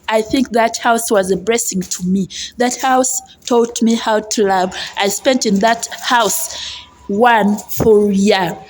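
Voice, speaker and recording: A woman from Uganda with a Ugandan accent talks to viewers in a chatty personal vlog, often repeating phrases for emphasis. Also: fairly high pitch. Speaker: vlogger and housemaid. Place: outdoors on a residential street. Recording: noisy outdoor ambient sound. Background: outdoor ambience noise.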